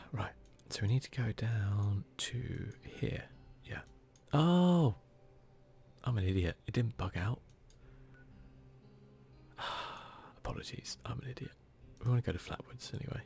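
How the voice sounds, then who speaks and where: A man softly giving casual ASMR commentary over a video game, often slipping into a whisper, with a self-deprecating manner. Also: lowish voice, measured pace, gravelly. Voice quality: soft-spoken, often whispery. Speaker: gaming video host.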